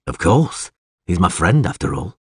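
Male narrator as terse fantasy warrior, dry wit, low and rough-edged, close dry recording. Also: very animated, measured pace, darkish tone, no room sound, heavily acted. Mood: terse, weary. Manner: terse, understated. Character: calm, dry-humoured male warrior, loyal friend.

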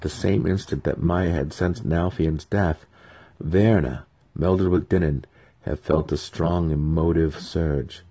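A man giving a dramatic scripted narration of a fantasy audiobook in a husky voice. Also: very deep voice, fairly even intonation, slow, dark tone.

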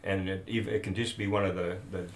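A man's voice: deep voice, steady tone, a little fast. A man around seventy, raised in South America, chatting casually and rambling through stories with many restarts. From born in Peru, raised in Bolivia. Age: about 70. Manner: rambling, trails off and restarts mid-thought. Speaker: podcast guest. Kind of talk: casual chat, storytelling. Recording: roomy with faint noise.

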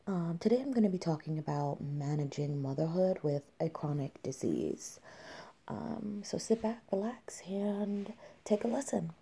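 A woman's voice: on the low side, lively intonation, measured pace, gravelly, mellow, slightly rough. A young woman hosting a candid solo podcast, talking with many fillers and restarts and sounding a little nasal from congestion. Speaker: podcast host.

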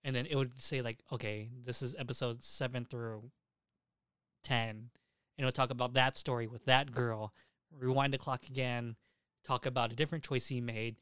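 A man's voice: mid-range voice, steady tone, moderate pace, a bit bright, clear, resonant tone, no room sound. Casual male podcast host, dry close sound. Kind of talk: casual chat about anime and visual novels.

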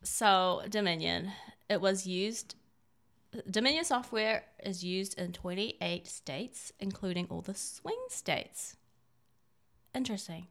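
A woman's voice: mid-range voice, slow, a bit bright. A woman from China co-hosting a conversational political talk show, speaking in an emphatic, opinionated way. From born in China.

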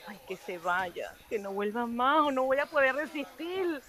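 A woman's voice: high voice, expressive, a little slow, a bit bright. A woman playing a lovestruck, flustered young waitress in a humorous radio soap, melodramatic and swooning.

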